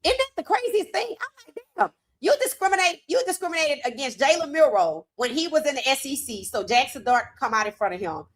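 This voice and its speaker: A hoarse woman hosting a sports talk stream, her voice sitting a little high. She talks in an animated, emphatic way, full of casual slang. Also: a little fast, hardly any pauses. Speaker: host. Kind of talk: sports commentary livestream.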